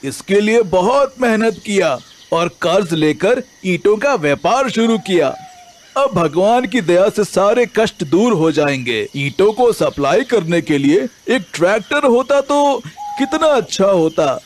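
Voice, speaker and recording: A man's voice: high-pitched, expressive, measured pace, a little hiss or hum. A man voicing a humble, helpful brick trader in a children's story, with an earnest, wondering manner. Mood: hopeful.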